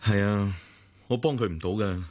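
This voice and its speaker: A man's voice: on the low side, normal speed, a little mellow, close to an everyday voice. A man with a Hong Kong Cantonese accent acting a protective but detached elder brother in a radio drama, admonishing and matter-of-fact.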